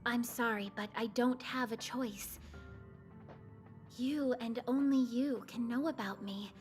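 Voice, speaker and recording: An actress voicing a secretive, coaxing woman who guards memories in a video game, speaking in a measured way. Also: a little high, lightly acted. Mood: disappointed, resolute. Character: secretive, softly insistent mysterious woman, keeper of memories.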